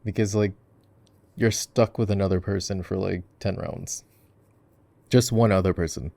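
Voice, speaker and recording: An easygoing gamer boyfriend, played by a male voice actor, talks in a lowish voice with plenty of fry. He rambles playfully through casual game coaching, often breaking off his own sentences. It has the intimate, chatty feel of an ASMR roleplay. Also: fairly even intonation, moderate pace, dark tone, dry recording. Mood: amused, musing. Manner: rambling, self-interrupting, playful.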